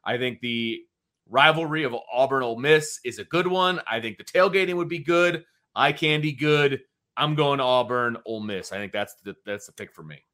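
A man's voice: medium pitch, normal speed, sharp tone. A man co-hosting a sports talk show, chatting casually with emphatic opinions.

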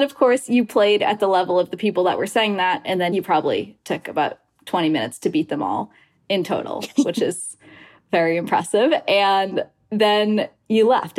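Woman podcast host, chatty interviewer. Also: medium pitch. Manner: chatty, retells hearsay with quoted asides. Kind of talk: scripted intro then casual interview.

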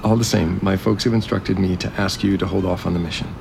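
Evasive widower and resort heir: low, creaky, apologetic, naturalistic audio drama over noisy ambience. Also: male voice, fairly even intonation, fast. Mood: hesitant, apologetic. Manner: hesitant, apologetic, placating.

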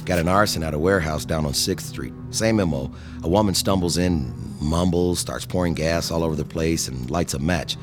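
A man's voice: low voice, moderate pace, few pauses, lightly acted. An actor playing a male police officer who briefs his partner in a clipped, matter-of-fact way. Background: music.

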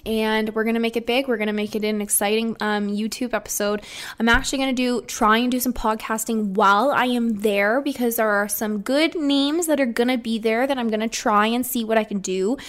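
A woman's voice: fairly high pitch, fairly even intonation, brisk. A young woman hosting a solo podcast, talking casually in an upbeat, rambling way with a slightly congested voice. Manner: chatty and upbeat, rambling with restarts. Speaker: podcast host.

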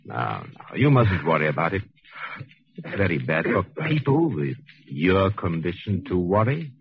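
An actor playing a cruel, educated convict in a 1940s radio drama, measured and insinuating, with menace under a soothing surface. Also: male voice, sits low, sing-song, a little hiss or hum, heavily acted. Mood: falsely soothing. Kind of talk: 1940s radio acting with narration. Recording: muffled 1940s radio recording.

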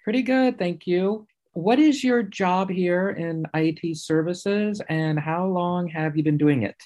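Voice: medium pitch, a little slow, a little mellow, muffled recording. A podcast host who asks short, friendly questions and follow-ups. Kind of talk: interview questions.

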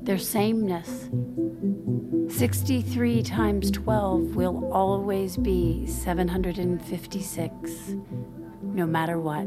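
A woman playing a flustered, guarded finance worker with a halting delivery in a naturalistic audio drama. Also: mid-range voice, steady tone, over loud music, natural voice. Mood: hesitant, earnest. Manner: hesitant, halting. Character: flustered, guarded woman working in finance, secretly leading double life.

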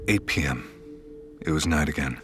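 A man's voice: a bass voice, lively intonation, moderate pace. An actor playing a weary, haunted man who narrates his ordeal in the first person for an audio drama. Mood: weary, tense. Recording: music under the voice.

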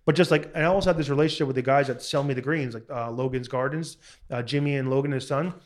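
A man's voice: medium pitch, fairly even intonation, fast talker. A chef in his forties from Long Island, chatting casually, rambling with lots of fillers and restarts and the odd swear word. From Long Island, New York. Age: 40s. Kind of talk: casual podcast chat.

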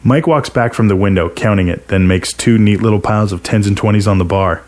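Low-voiced man narrating fiction. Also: a little fast, darkish tone. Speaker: narrator, story reader. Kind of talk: scripted first-person fiction narration.

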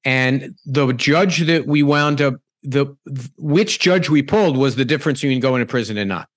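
Male podcast host, halting delivery, middle pitch, dry studio sound. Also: no echo. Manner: halting, many restarts and repeated words. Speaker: podcast host, former politician. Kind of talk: conversational interview.

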